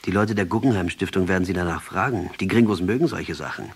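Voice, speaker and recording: An actor in a radio play playing a captured Marxist guerrilla commander, defiant, ironic and intellectual, speaking emphatically. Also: male voice, deep voice, quick pace. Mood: dry, ironic. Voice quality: husky. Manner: sardonic, emphatic, declamatory.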